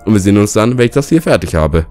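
A young man with a slightly hoarse, cold-worn voice, commentating a fighting game and ranting with lots of swearing. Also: on the low side, animated, fast talker. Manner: swears a lot, rants and shouts at the game. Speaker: let's player. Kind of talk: gaming commentary. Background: game music.

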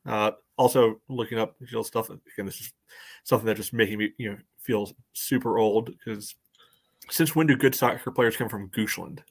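Low-pitched American man chatting soccer. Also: normal speed, gravelly, husky. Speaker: sports podcast co-host. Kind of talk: casual soccer chat. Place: room at home. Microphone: video call.

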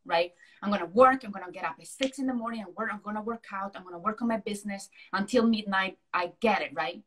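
A woman's voice: medium pitch, fairly bright tone, rough recording. A woman in her thirties from Italy with an Italian accent, talking expressively as a guest on a video call interview, peppering her talk with "you know" and "right". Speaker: guest, hypnotherapist and success coach. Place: small room at home. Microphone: video call, laptop or webcam mic.

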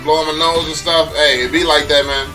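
A man's voice: a little high, flat delivery, fairly quick, distant mic, poor audio. A young man with a congested voice giving laid-back, slangy reaction commentary on a mobile game as a YouTuber, speaking into a headset. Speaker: gaming YouTuber reacting to gameplay. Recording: game audio and music behind, a bit off-mic.

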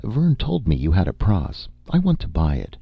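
A man's voice: sits low, normal speed, vocal fry, slightly rough, dry recording, pitched above the performer's own voice, heavily acted. A man putting on a cool, pushy young woman. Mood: cool, persuasive. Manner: calm, coaxing, sly. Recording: dull lo-fi recording.

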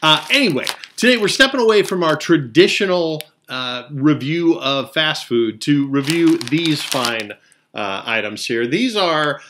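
A man's voice: a little high, lively intonation, unhurried, a bit bright, a little hiss or hum. A man in his fifties hosting a casual snack review at home, chatting and explaining step by step into a webcam. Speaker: food review host. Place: small indoor room at home.

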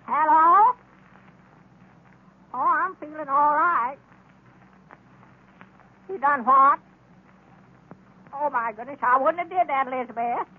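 An actor playing a folksy, scheming old storekeeper with a rural Southern US drawl in a radio comedy. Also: male voice, very high voice, takes it slowly, pauses often. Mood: flustered. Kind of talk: 1930s radio comedy acting. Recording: tinny, noisy old radio recording.

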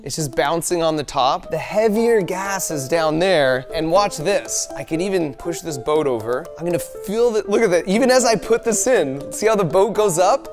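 Man, science host, excited, questioning, demonstrating to kids, music in background. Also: on the high side, moderate pace, hardly any pauses. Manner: excited, asks leading questions. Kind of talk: hands-on science demonstration for children. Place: indoor set dressed as a summer camp.

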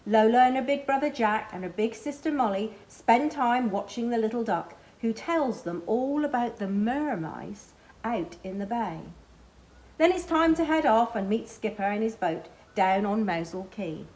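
Middle-aged lady reading a kids picture book out loud, highish voice, expressive, stops to point at pictures. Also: normal speed. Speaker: library storyteller. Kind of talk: picture-book read-aloud for children. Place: small library children's corner, indoors.

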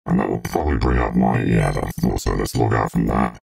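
A man talking through a pitched-down synthesizer voice changer as an electronic giant, halting and casual as in a live tutorial. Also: steady tone, darkish tone, far from the mic, soft background music, exaggerated voice. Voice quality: gravelly, synthetic. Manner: halting, thinking aloud.

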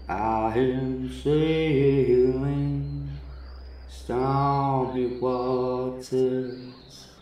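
A man singing a calm, slow ballad in a sustained, unhurried way. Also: fairly high pitch, slight background noise. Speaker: channel host. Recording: very echoey, distant from the mic.

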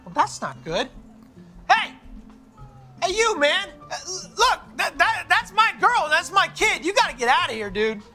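A man playing an excitable, bumbling guy with a high, crazy-sounding voice in a sitcom. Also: a little slow, faint music behind, heavily acted. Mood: frantic. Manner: yelps and stammers excitedly. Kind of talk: sitcom acting.

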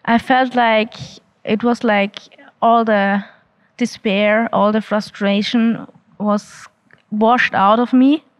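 A woman speaks slightly high and earnestly. She stumbles and restarts often while telling her story into a handheld mic. The sound is dull and dry. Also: fairly even intonation, no echo. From Austria. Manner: earnest, halting, many restarts and fillers. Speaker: interviewee giving a testimony. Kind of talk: unscripted testimony. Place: indoor church hall. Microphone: handheld mic held by interviewer.

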